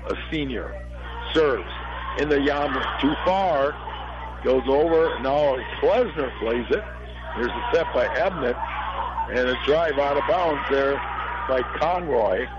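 A middle-aged American man with a hoarse, failing voice gives folksy live play-by-play commentary on a local volleyball game in short phrases. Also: mid-range voice. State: hoarse, losing his voice from allergies. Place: high school gym. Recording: dull lo-fi radio broadcast sound.

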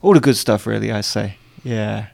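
A man's voice: fairly low pitch, fairly even intonation, moderate pace, gravelly, darkish tone. Easygoing English man in a radio studio. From England, near London. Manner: easygoing, agreeable, many yeahs. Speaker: guest singer-songwriter. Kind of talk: casual radio interview.

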